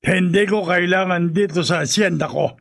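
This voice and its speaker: Gravelly, domineering old Filipino patriarch. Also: male voice, high-pitched, moderate pace. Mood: stern, threatening. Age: older. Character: domineering wealthy grandfather, hacienda owner, indulgent to grandson. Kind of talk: radio drama acting.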